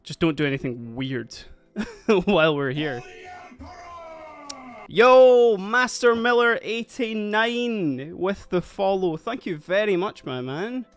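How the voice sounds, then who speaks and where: A man's voice: high voice, animated, takes it slowly, clean tone. A man with a Scottish accent, chatting casually and rambling on a livestream, laughing often while doing other things as he talks. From Scotland (Dundee). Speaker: streamer. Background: faint background music.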